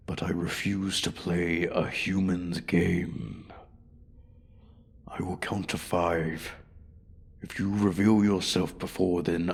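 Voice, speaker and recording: A man voicing an ancient, arrogant dragon in a fantasy ASMR roleplay, haughty and deliberate, with a breathy voice that often drops to a whisper. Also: low voice, exaggerated voice. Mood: cold threat. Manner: haughty, deliberate, coldly threatening. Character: ancient, menacing, arrogant male dragon guarding his hoard. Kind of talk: slow, theatrical fantasy ASMR roleplay monologue.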